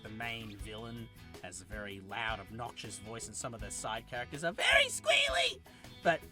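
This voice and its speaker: Man, game reviewer, casual rambling talk, self-correcting, slightly high voice, faint music behind. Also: sharp tone.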